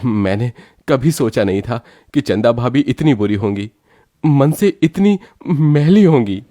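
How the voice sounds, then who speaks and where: A man's voice: medium pitch, expressive, unhurried, dark tone, natural voice. A man playing an earnest, good-hearted but troubled younger brother in a cartoon story, speaking emphatically. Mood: troubled, determined. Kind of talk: Hindi cartoon story acting.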